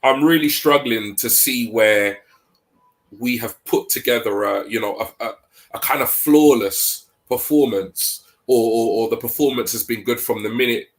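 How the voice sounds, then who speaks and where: A man with a stuffy head cold talking casually about football on a podcast, hesitant with many pauses. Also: medium pitch. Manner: hesitant, many ums, thinking aloud. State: congested with a cold. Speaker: podcast co-host.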